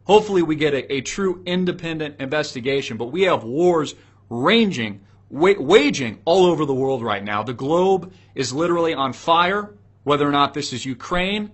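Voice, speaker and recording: A man's voice: a little high, animated, moderate pace. A man giving opinionated solo political commentary to viewers, speaking in an emphatic, preachy way with repeated rhetorical questions.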